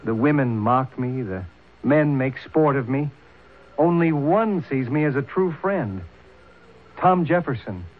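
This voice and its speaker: A man's voice: mid-range voice, lively intonation, close to an everyday voice. An actor playing a proud, earnest young man torn between two peoples, speaking formally in an old-time radio drama. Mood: troubled, earnest. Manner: formal, deliberate phrasing. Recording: tinny, hissy old radio broadcast.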